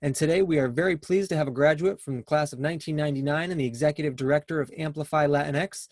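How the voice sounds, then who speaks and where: A man's voice: a little high, fast, no echo, clean studio sound. A man hosting a university alumni podcast, opening with a scripted welcome and asking friendly questions. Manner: friendly, scripted introduction, then loose questions. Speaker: podcast host.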